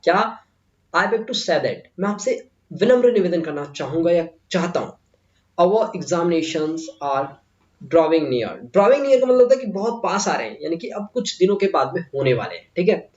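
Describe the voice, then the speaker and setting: A man's voice: on the high side, sing-song, moderate pace, a little mellow. A man with an Indian accent giving a step-by-step English exam lesson as a teacher, repeating phrases and asking himself questions as he instructs. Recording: muffled, echoey room.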